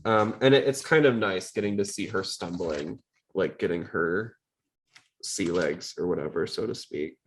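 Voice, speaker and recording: A man's voice: deep voice, normal speed. A man hosting a podcast in casual chat, rambling along in a chatty, jokey way. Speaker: podcast host.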